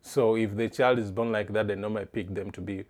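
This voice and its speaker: A middle-aged man from East Africa answers questions in a lowish voice. He speaks haltingly, often restarting a phrase while he looks for the right word. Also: fairly even intonation. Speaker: interviewee. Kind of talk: casual interview answers.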